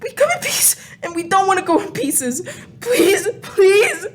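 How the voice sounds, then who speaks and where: Squeaky, nervous little purple alien. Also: female voice, big pitch swings, a little slow, slight background noise, full character voice. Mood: panicked begging. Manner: stammering, pleading. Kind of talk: comic radio play acting.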